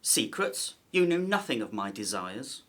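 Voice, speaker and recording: A man's voice: lowish voice, very animated, measured pace, a bit bright. A man playing an anxious, wary conspirator in an ancient Egyptian palace, in radio drama style. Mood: wounded, wary.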